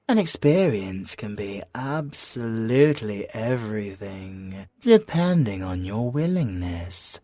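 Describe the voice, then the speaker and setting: A British man acting a sly, seductive villain in an ASMR roleplay, with a breathy voice and a teasing, drawn-out, theatrical delivery. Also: on the low side, no room sound. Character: sly, seductive, possessive male villain toying with an officer. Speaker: audio roleplay voice actor.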